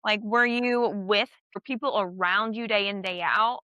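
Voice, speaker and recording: A woman's voice: mid-range voice, fairly even intonation, measured pace, pushed and bright, no room sound, lo-fi sound. A woman in her thirties chatting casually, hesitant and full of "like" fillers. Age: 30s. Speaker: podcast co-host. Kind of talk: casual chat.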